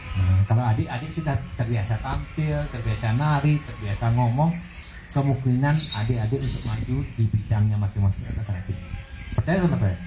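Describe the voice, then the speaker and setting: A man's voice: medium pitch, fairly quick, noisy background. A man from Bali with a Balinese accent, giving an informal, encouraging talk to young people as a guest speaker. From Bali, Indonesia. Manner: encouraging, lively. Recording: echoey room.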